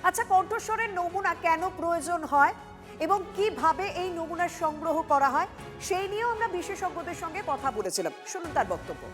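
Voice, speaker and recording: A woman's voice: very high voice, animated, moderate pace, music in the background. A woman with a West Bengal accent presenting live news as an anchor, with emphatic, repetitive handovers.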